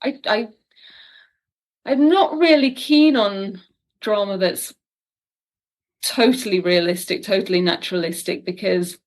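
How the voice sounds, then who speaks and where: A woman's voice: medium pitch, a little slow, pauses often. A woman talking casually as a guest writer in an interview.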